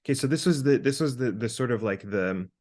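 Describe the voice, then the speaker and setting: A man in his thirties talking casually as a podcast co-host, animated and sweary as he thinks out loud. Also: mid-range voice, brisk. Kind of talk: casual conversational monologue with hypothetical examples.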